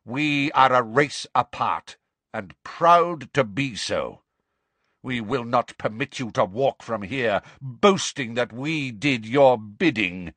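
A man voicing a proud, stern male centaur in a storybook, snarling and deliberate. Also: lowish voice, big pitch swings, dry recording, full character voice.

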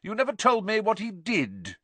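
A man voicing a loud, bullying, drunken middle-aged aunt with a booming, scornful manner. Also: wildly swooping pitch, normal speed, no room sound, heavily acted. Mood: contemptuous.

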